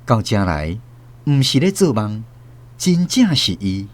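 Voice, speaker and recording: Elderly Taiwanese grandfather, wistful and tender, radio drama storyteller, very lively intonation. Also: lowish voice, a little slow. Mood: wistful, stirred. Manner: literary, emotive storytelling. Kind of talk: scripted radio drama narration.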